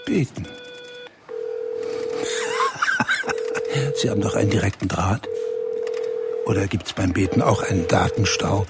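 An actor playing a self-important German banker in a radio play, bossy and clipped. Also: male voice, on the low side, wildly swooping pitch, takes it slowly, long pauses, heavy background noise, exaggerated voice. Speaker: banker at a summit.

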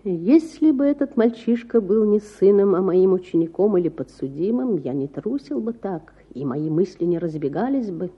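A woman's voice: medium pitch, expressive, normal speed, few pauses, breathy edge, lo-fi sound. A woman playing a perplexed, cultured middle-aged father in a storybook, musing with rhetorical self-doubt.

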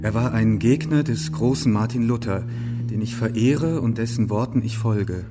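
An actor playing a calm, thoughtful companion of a religious reformer in a German radio play, measured and questioning. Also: male voice, lowish voice, over music, natural voice. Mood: solemn reading.

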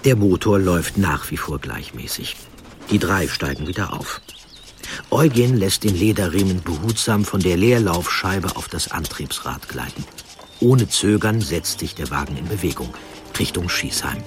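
A man reading scripted story narration in deliberate, dramatic short phrases. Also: low voice, lively intonation.

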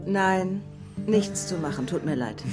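A woman's voice: on the low side, animated, unhurried, loud music behind the voice. An actress playing a curt, officious female receptionist at a medical practice in a radio drama, clipped and formulaic. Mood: businesslike, dismissive.

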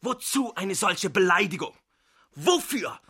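An actor playing an analytical, reproachful country doctor in a German radio drama, with a formal, pointed, lecturing manner. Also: male voice, high voice, sing-song, a little slow, pushed and bright, breathy edge, no echo, studio quality, full character voice. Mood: indignant reproach. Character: analytical, reproachful male country doctor confronting his friend.

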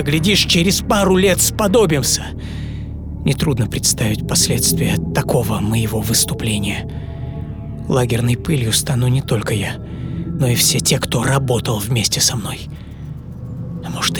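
A man's voice: medium pitch, natural voice. A man playing a troubled, stubborn 1930s Soviet tank designer in an intense, confiding dramatic radio monologue. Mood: anguished, resolute. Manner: intense, confiding, dropping to near-whisper. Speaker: narrator and author of radio programme. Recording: music bed under the voice. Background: music.

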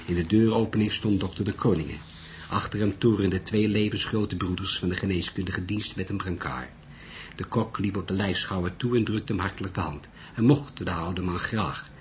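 A man narrating a literary detective story in a measured, deliberate way. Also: deep voice. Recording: noisy recording.

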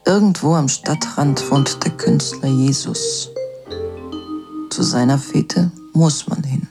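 A man's voice: mid-range voice, slow, vocal fry. A man narrating a literary radio play in a laconic, detached way. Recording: music behind the voice. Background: music.

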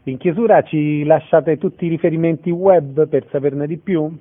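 A man's voice: a little high, normal speed. A man hosting a radio interview, putting questions to guests and introducing them. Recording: tinny, band-limited phone-like sound.

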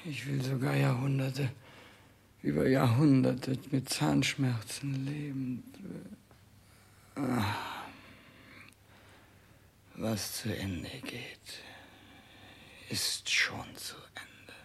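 An actor playing a grandiose, self-obsessed dying king terrified of death, theatrical in a 1960s German radio drama, with a husky, gravelly voice. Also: mid-range voice, steady tone, heavily acted. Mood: weak, despairing. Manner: theatrical, slow declaiming, swinging between bombast and faint pleading.

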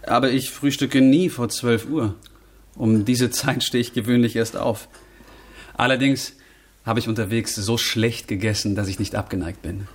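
An actor playing a charming, idle young gentleman in a German radio drama, polite, breezy and slightly affected. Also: medium pitch, steady tone, normal speed, slight background noise, lightly acted.

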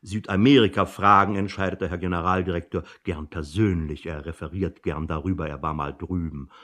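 Husky, long-winded personnel manager. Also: male voice, deep voice, steady tone, a little fast, fairly bright tone. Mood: affable, explaining. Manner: officious, long-winded, with hesitant asides. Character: verbose, self-important male personnel manager. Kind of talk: German satirical radio drama acting.

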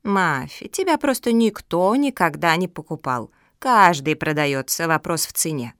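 A woman voicing a sharp-tongued, cynical female squirrel in a storybook, domineering and insinuating. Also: deep voice, lively intonation, unhurried, dry recording, studio quality, exaggerated voice. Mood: mocking. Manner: insinuating, triumphant, interrogating.

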